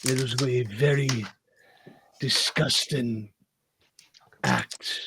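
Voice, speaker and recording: A man with a naturally gravelly voice playing his character in a tabletop game, talking in stammering restarts. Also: mid-range voice, drawn-out words, background noise. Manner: stammering restarts, laughs often.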